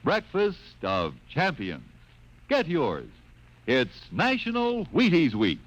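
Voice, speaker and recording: A man's voice: fairly high pitch, wildly swooping pitch, takes it slowly. A man reading a folksy, chummy commercial as a 1950 radio announcer. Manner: folksy, chummy salesman patter. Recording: dull lo-fi 1950 radio transcription.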